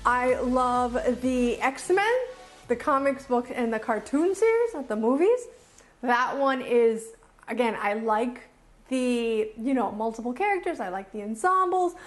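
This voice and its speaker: High-voiced woman giving an enthusiastic review. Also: animated, measured pace, faint music behind. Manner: enthusiastic, emphatic, repeats phrases for emphasis. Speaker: movie reviewer, video host. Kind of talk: casual unscripted review to camera.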